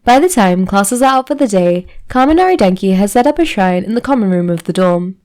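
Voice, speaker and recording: A woman narrates a piece of fan fiction at a middle pitch. She reads from a script as a storyteller, moving through description and scene-setting. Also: expressive, fairly quick, darkish tone. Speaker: fan fiction podfic reader.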